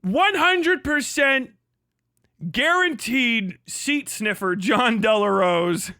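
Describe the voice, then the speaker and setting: A man's voice: very high-pitched, slow pace, bright, forceful tone, smooth, no echo, studio quality. A young man hosting a livestream, giving crude, mocking reaction commentary and laughing often. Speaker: livestream host. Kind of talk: profane live reaction commentary.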